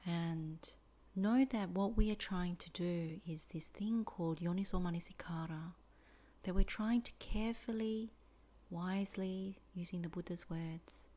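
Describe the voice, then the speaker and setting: A woman teaching a guided meditation in soft, deliberate phrases with many pauses and a breathy fall at phrase ends. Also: fairly low pitch.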